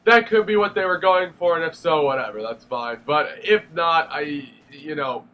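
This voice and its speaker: A man's voice: on the high side, moderate pace, bright, forceful tone, slight background noise. A young man with a stuffy nose from a cold, giving a casual, rambling spoken review of a game with lots of fillers and restarts. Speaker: gaming video reviewer.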